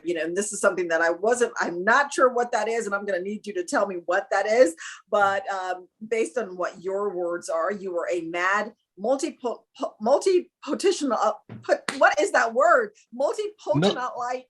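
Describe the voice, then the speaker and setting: A woman, a broadcast journalist and co-host, chatting in a lively way on a video call. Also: medium pitch. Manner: lively, laughs readily, chatty. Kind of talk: casual video call chat.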